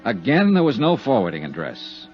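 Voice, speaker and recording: An actor playing a wry, world-weary insurance investigator who narrates a 1950s radio drama in a sardonic, clipped way. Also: male voice, mid-range voice, wildly swooping pitch, normal speed. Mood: dry narration. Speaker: lead and narrator. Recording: dull 1950s radio recording with music.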